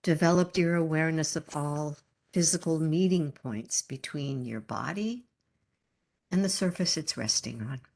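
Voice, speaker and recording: Woman, meditation teacher, low pitch, very slow pace, calm, gentle, guided relaxation instruction, long pauses. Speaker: yoga nidra meditation teacher.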